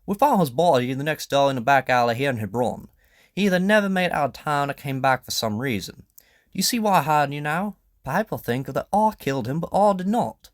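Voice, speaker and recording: A man's voice: a little high, lively intonation, brisk, no echo, studio quality, pitched above the performer's own voice, natural voice. A man acting a proud, earnest king in an audio drama, speaking formally and emphatically with indignation and pleading.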